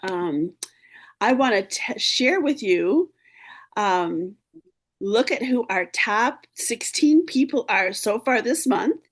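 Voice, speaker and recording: Upbeat slightly hoarse woman leading a call. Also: on the high side, expressive, takes it slowly. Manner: upbeat, encouraging, clears throat often. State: a little hoarse. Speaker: team leader hosting a video meeting. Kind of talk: casual team meeting talk. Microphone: video call.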